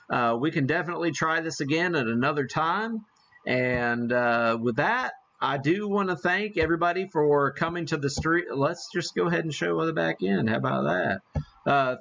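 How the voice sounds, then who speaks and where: A man's voice: on the high side, fairly bright tone, muffled recording. A man hosting a casual live hobby demonstration, chatting in a folksy, self-deprecating way. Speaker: live stream host, amateur radio hobbyist.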